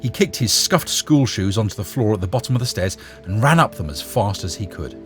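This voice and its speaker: A man narrates a short story in a very low voice. His delivery is animated, with wide swings in pitch. Faint music plays underneath. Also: a little fast.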